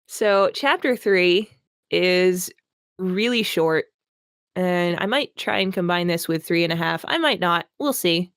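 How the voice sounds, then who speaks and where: A woman's voice: lowish voice, measured pace, fairly bright tone, clear, resonant tone, no echo. A woman hosting an amused comic reading aloud, giggly and mock-dramatic, adding her own commentary. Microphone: online voice call. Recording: dry voice chat audio.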